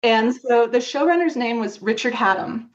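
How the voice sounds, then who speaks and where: A woman's voice: a little high, animated, normal speed, lo-fi sound. A woman chatting casually as an interview guest, explaining and recommending things in an enthusiastic, emphatic way. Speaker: podcast guest, longtime fan fiction author and artist.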